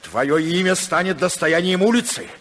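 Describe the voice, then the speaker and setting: An actor playing a cynical, scheming senator husband with a sardonic, theatrical, mock-polite manner in a 1950s Soviet radio drama. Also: male voice, high voice, animated, moderate pace, a bit bright. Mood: threatening. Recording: 1950s radio recording, long hall reverb.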